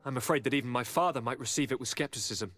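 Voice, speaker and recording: A man's voice: medium pitch, fast talker, fairly bright tone, natural voice. An actor playing a charming, eager young nobleman governor in a video game, speaking in a courtly, theatrical and affectionate way. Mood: fascinated, eager. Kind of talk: dramatic video game voice acting. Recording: reverberant hall, music underneath.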